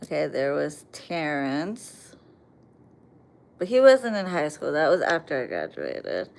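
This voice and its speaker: A woman's voice: low-pitched, sing-song, takes it slowly, gravelly, a little mellow. An American woman chatting bluntly on a livestream, reading viewer comments and answering them with plenty of swearing. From United States. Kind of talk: casual livestream chat.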